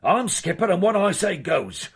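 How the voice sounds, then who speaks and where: An actor playing a gruff, grumbling middle-aged sea skipper with a raspy, creaky voice and rough colloquial speech, in a radio drama. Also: male voice, sits high, big pitch swings, normal speed, full character voice. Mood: angry, blustering. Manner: colloquial, uneducated sailor's speech.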